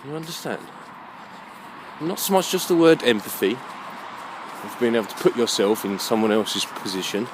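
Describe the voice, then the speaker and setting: A man with a mid-pitched voice thinks aloud as he walks. His delivery is halting and musing, often turning into questions to himself. He is recorded outdoors with clear background noise around him. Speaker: vlogger. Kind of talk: rambling walk-and-talk monologue. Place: outdoors, walking along a muddy alleyway.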